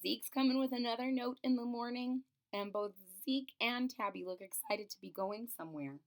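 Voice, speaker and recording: A woman's voice: fairly high pitch, moderate pace. Woman reading a children's picture book aloud. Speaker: storybook reader and channel host.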